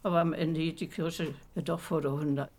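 A woman's voice: sits low, level tone, moderate pace, darkish tone. An actress playing a blunt, droll village woman in a radio play, earthy and terse, with a Berlin-Brandenburg German accent. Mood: wry storytelling. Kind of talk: naturalistic radio play dialogue.

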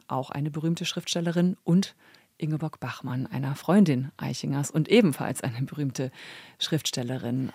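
A woman's voice: sits low, darkish tone, breathy edge, no echo, studio quality. A woman presenting and interviewing on a radio culture programme.

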